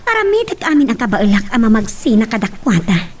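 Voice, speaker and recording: An actress playing a spoiled young daughter in an Ilocano radio drama. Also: female voice, on the high side, sing-song, fairly quick, distant mic, a little hiss or hum. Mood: scheming. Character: spoiled young daughter, remorseful yet plotting.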